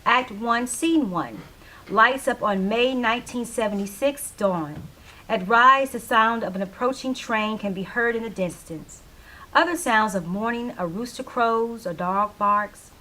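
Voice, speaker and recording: A woman's voice: a little high, measured pace, bright, forceful tone, a little hiss or hum, poor audio. A woman reading scripted stage directions as the narrator of a play reading.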